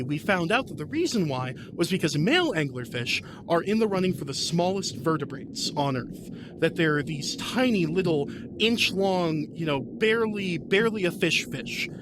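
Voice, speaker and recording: A man's voice: fairly high pitch, big pitch swings, moderate pace, noisy background. A man speaking as a podcast guest and game master, explaining fantasy lore casually in an enthusiastic, rambling way.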